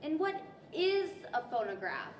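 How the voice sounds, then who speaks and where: Woman playing a high-pitched, curious girl. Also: huge pitch swings, a little slow, muffled recording, exaggerated voice. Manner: polite, inquisitive. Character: curious, well-mannered young girl. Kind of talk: 1940s radio-style stage acting. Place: library stage, microphones on stands. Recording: echoey hall, faint noise.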